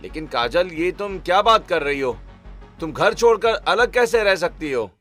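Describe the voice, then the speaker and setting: A man's voice: high-pitched, animated, moderate pace, bright, forceful tone, faint music behind. A man voicing a baffled, complaining young husband in a cartoon story, questioning and reproachful. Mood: alarmed. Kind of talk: Hindi cartoon story dialogue.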